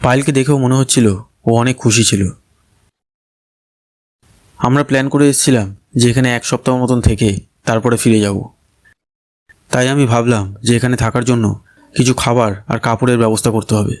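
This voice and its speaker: A man's voice: mid-range voice, steady tone, a little fast, long pauses. A man narrating a scripted first-person story. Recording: loud music bed behind voice. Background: loud music.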